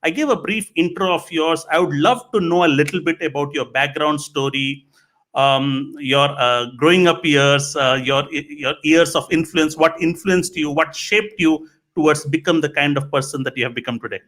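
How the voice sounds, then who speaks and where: A man hosting a live interview podcast, speaking in a halting way and repeating his phrases. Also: on the high side, a bit bright. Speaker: podcast host.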